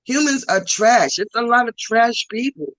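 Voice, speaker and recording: A woman's voice: a little high, expressive, normal speed, fairly bright tone, no echo. A woman comedian from Trinidad with a thick Trinidadian accent tinged with New York, chatting bluntly and emphatically on a podcast. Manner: blunt, emphatic, repeats points. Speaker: comedian guest. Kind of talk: casual podcast chat.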